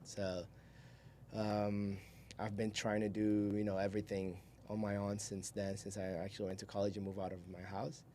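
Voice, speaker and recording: A young man with a fairly low voice tells stories as a podcast guest. He talks informally and wanders between thoughts. There is faint noise behind him. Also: completely flat delivery, normal speed, mellow. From Brazil. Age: 20s. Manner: informal, rambling, chuckles while talking. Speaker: podcast guest, solar salesman. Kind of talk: casual storytelling in an interview.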